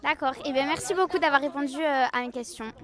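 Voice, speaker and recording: Young woman, high voice, interviewer, friendly, hesitant questions. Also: quick pace, fairly bright tone.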